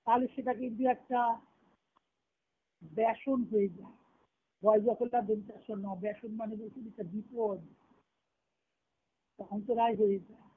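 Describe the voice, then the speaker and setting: Woman spiritual teacher on a tinny phone line. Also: fairly high pitch. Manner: reflective, explanatory, repeats key phrases. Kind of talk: devotional discourse, explaining scripture and song. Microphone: phone conference call. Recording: tinny narrowband conference-call phone line.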